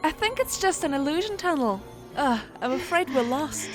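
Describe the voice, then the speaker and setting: A woman's voice: high-pitched, expressive, normal speed. A woman voicing a brainy, cautious teenage fairy in a cartoon fan dub, with a precise, know-it-all delivery. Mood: analytical concern. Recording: faint music behind the voice.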